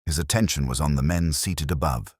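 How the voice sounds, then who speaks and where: A man's voice: a bass voice, brisk, slightly rough, dry recording, clean studio sound. A man reading a scripted story as an audiobook narrator.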